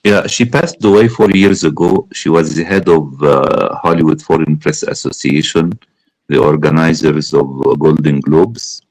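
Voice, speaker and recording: A man conducts an interview in a low, deep voice. He speaks conversationally, often restarting his phrases, and the sound is dry and close. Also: normal speed, a little mellow, no echo, low-quality recording. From Egypt. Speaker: interviewer, film critic.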